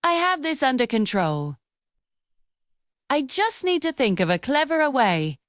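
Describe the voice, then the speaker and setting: A woman's voice: high-pitched, sing-song, measured pace, no echo, clean studio sound. A woman voicing a boastful, smug male fox in a children's animal story. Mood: proud, snappish. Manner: showy, smug, dismissive.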